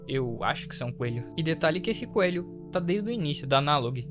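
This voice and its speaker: A man's voice: a little high, lively intonation, fairly quick. A Brazilian man narrating a horror story recap as a video host, explaining it with informal asides. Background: faint to clear background music.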